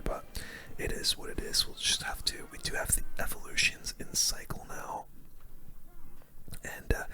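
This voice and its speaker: Casting: ASMR gamer for play-by-play, fully whispered, crisp sibilant brightness, casual slangy muttered reactions. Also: very high-pitched. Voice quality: breathy unvoiced whisper. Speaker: gaming video host. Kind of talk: whispered ASMR gaming commentary.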